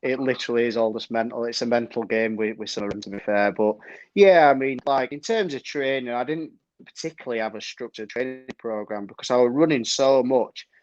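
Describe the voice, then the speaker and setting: A man in his thirties with a Yorkshire accent, chatting as an interview guest in a relaxed, rambling way. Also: medium pitch, fairly quick, no echo. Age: 30s. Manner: relaxed, rambling, many fillers and sort ofs. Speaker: guest trail runner. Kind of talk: casual interview chat. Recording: dry, dull lo-fi stream audio.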